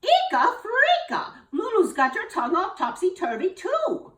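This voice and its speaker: A woman voicing a flustered, excitable female schoolteacher who squeals her exclamations in a high voice. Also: normal speed, distant mic. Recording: roomy, from off the mic.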